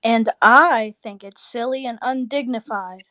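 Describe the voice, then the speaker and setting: High female voice, acted bossy married sister, exasperated, scolding, vintage radio drama, dry sound. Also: measured pace, pushed and bright, dry recording. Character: bossy, disapproving, practical married sister, busy mother. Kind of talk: 1940s radio play acting.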